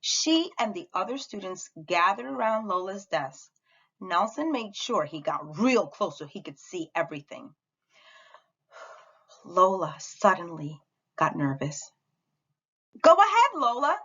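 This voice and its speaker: A woman reading a children's story aloud with dramatic, deliberate expression. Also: fairly low pitch, animated, fairly bright tone, slightly rough, highs cut off. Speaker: storybook reader at a school read-aloud.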